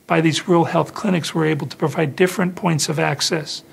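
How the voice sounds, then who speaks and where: A man's voice: a little high, steady tone, a little fast, creaky, breathy edge. An American man in his forties explaining health services in an interview, speaking as an administrator. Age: 40s. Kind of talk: interview explanation for a hospital newsreel. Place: indoor office or meeting room.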